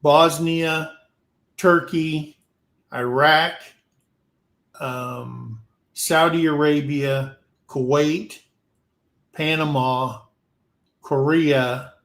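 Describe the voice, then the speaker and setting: Male host, unhurried, rambling delivery, echoey room. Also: fairly high pitch, steady tone, a bit bright. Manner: rambling, repeats himself. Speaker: talk show host. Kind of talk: casual panel chat.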